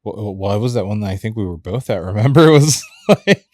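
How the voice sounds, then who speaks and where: A man with a fairly low voice, co-hosting a casual podcast chat in a dry studio. He chuckles often and chimes in with short remarks to keep the story moving. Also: animated, fast talker, a little mellow, dry recording.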